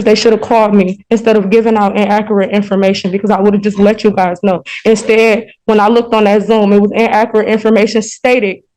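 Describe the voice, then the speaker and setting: Female American court speaker, flat intonation, soft dark tone, apologetic yet insistent, halting delivery. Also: medium pitch, rough recording. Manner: halting, apologetic but insistent, repeats herself. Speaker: member of the public addressing a court. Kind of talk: spontaneous plea to a judge.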